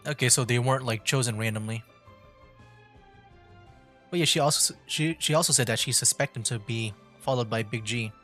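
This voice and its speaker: A man's voice: mid-range voice, fairly quick, pauses often, fairly bright tone, faint music behind. A man giving casual livestream commentary on a game, with wry, easygoing asides. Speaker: streamer, gamer.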